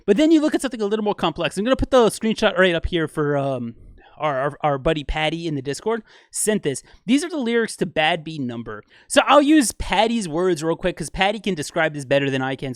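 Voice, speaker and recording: A man breaking down music in an enthusiastic, explanatory way for a video, with fillers and quick asides. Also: sits high, no echo, clean studio sound. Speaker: video commentator.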